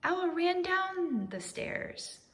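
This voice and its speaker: A woman narrates a children's storybook with expressive, swooping intonation. Her delivery is slow and measured, with a creaky edge. Long reverb trails the voice. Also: extremely high pitch. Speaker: storybook reader. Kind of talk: expressive children's read-aloud.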